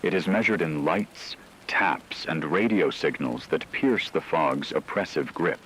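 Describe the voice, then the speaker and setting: A man playing a grave radio news anchor, reading scripted emergency bulletins in a measured, formal way. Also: rumbling low, a bit bright, a little hiss or hum, rough recording.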